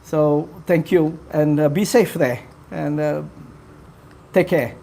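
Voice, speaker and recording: Mellow man chatting on a live stream. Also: a little high, fairly even intonation, creaky. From Philippines. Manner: halting, full of fillers, friendly to viewers. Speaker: livestream host. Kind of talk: casual live-stream chat with viewers.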